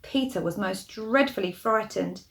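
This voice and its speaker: A woman storyteller reading a storybook expressively to young children, with hushed asides and gentle questions to the listeners. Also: mid-range voice, measured pace, gravelly.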